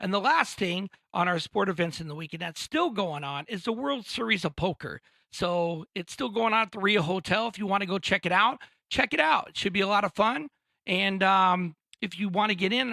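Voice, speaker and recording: A man with a high, bright, forceful voice co-hosts a casual sports podcast. He talks in an energetic, chatty way and often restarts his sentences. The sound is dry and close to the mic. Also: brisk, gravelly, no echo. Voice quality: high-pitched voice. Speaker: podcast co-host.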